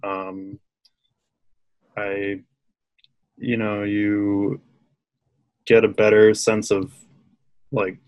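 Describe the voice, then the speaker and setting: A man's voice: lowish voice, no room sound. A man in casual chat, laid-back and filling with "like". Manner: laid-back, many "like" fillers.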